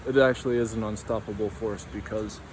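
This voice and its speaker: Rambling young man, flat delivery. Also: lowish voice, brisk, noisy background. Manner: meandering, tangential, many fillers. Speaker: vlogger. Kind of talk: rambling casual monologue.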